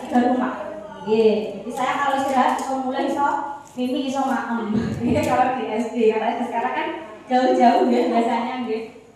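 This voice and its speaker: A woman's voice: fairly high pitch, darkish tone. A woman in her thirties from Wonogiri with a Javanese accent, giving a chatty health talk to elderly people and often asking them questions. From Wonogiri, Central Java, Indonesia. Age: 30s. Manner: chatty, asks the audience questions. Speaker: health educator at a community health center. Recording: echoey hall, far from mic, crowd behind.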